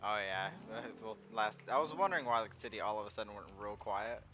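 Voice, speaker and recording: A man's voice: on the low side, animated, brisk, sharp tone, highs cut off, slight background noise. A man chatting casually and agreeably as a trainee officer in a police roleplay game. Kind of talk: casual in-character roleplay chat.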